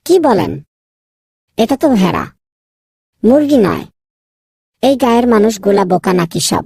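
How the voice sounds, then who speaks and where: Lady doing a proud naive young lad in a cartoon, raspy and creaky, gets exasperated easy, dry sound. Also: a little high, animated, measured pace, darkish tone, no echo, exaggerated voice. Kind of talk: cartoon dubbing.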